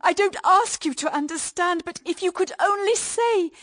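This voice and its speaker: High-voiced, fervent young wife. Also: female voice, moderate pace, a bit bright. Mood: pleading, remorseful. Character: Nan, emotional repentant young wife in unhappy marriage. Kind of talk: radio drama acting.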